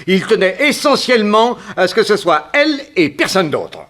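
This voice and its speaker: A man's voice: high-pitched, very animated, normal speed, a bit bright. An actor playing an anxious, deferential businessman in a French radio drama, polite, earnest and a little flustered.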